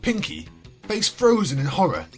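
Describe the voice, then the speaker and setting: Theatrical woman storyteller, very animated. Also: mid-range voice, normal speed. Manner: theatrical, wry. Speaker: fan fiction reader, narrator. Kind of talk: dramatic scripted story narration. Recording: music behind the voice.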